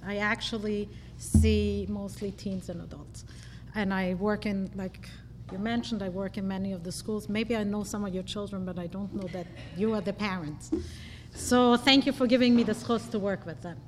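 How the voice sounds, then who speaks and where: A woman's voice: medium pitch, fairly even intonation, normal speed, crowd noise. A woman therapist speaking at an event, chatty and self-deprecating, with frequent fillers. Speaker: marriage and family therapist. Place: hall. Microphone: handheld mic, then speaks without one. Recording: reverberant hall, faint noise.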